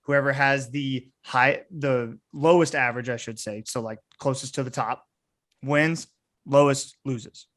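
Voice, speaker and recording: A man's voice: medium pitch, fairly even intonation, a little slow, fairly bright tone. A man hosting a podcast, chatting casually and bantering with friends. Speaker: podcast host.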